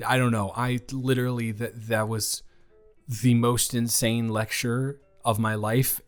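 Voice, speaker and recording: A man acting as a friendly young male college student in a roleplay audio drama. He rambles in an awkward, casual way with a medium-pitched voice, and music plays softly behind him. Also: lightly acted. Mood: tired, rambling. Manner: rambling, animated, hesitant casual speech.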